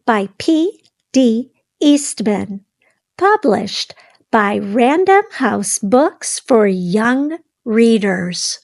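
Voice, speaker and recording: A high-pitched woman narrates a storybook for young children. She takes it very slowly and deliberately, keeping phrases simple and speaking directly to her little listeners as their read-aloud host.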